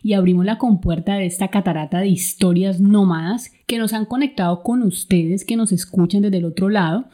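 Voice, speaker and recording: A woman's voice: medium pitch, fairly quick, hardly any pauses, mellow. A woman with a Colombian Spanish accent hosting a travel podcast in a partly scripted, conversational way. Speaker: podcast host.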